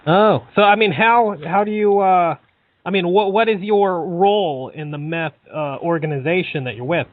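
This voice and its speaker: A man making a deadpan prank phone call, hesitant and stammering as he feigns earnest sincerity. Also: sits high, moderate pace, dry recording. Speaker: prank caller.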